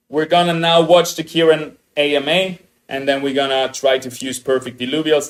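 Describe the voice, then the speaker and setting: A young man with an Austrian German accent, chatting excitedly to his viewers as a game streamer. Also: mid-range voice. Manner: excitable, upbeat, chatty with restarts. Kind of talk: casual livestream chat, greeting viewers.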